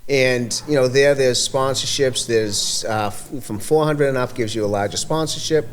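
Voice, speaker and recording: Man, Everett Massachusetts accent, event organizer, rambling, halting, mid-range pitch, faint background ambience. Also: slight background noise. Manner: rambling, halting with many fillers and restarts. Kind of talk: casual podcast conversation.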